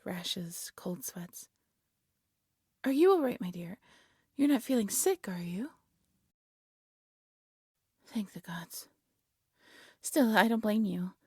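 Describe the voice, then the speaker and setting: Woman acting a lovesick dark-magic alchemist, devoted, soft-spoken, husky breathy voice, frequent whispering, very dry intimate sound, fantasy audio drama. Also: medium pitch, dry recording, full character voice. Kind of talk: intimate fantasy audio roleplay, acted monologue.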